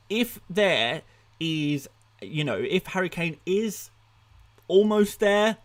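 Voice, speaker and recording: A man hosting a casual live stream, chatty and upbeat, laughing at himself as he reads out viewers' comments. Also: fairly high pitch. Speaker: fantasy football streamer.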